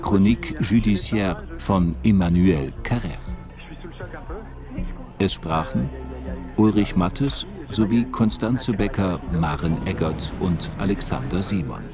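Credits announcer for radio drama, male, bass register, measured pace, factual delivery over music. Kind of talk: scripted broadcast credits announcement.

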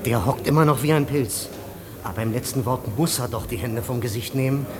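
A man's voice: medium pitch, brisk, close to an everyday voice. A man playing a grumbling, cynical press photographer in a postwar radio play, talking casually. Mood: watchful, cynical. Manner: casual, colloquial. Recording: old radio play, crowd murmur behind.

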